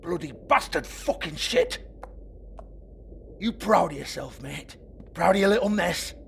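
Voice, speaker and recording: An actor playing a sleazy, foul-mouthed strip club owner with a British accent in a video game, mocking and volatile. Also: male voice, high voice, animated, unhurried, vocal fry, a bit bright, a little hiss or hum, full character voice. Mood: furious, taunting. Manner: sneering, taunting, swearing.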